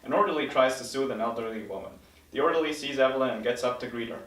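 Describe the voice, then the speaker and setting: A man's voice: mid-range voice, quick pace, fairly bright tone. A man reading stage directions as the narrator in a scripted table read. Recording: roomy recording, mic at a distance.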